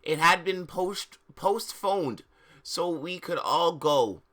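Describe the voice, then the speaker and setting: Bright-voiced man streaming a dramatic reading. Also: fairly high pitch, takes it slowly. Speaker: live streamer. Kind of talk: dramatic reading with casual joking commentary.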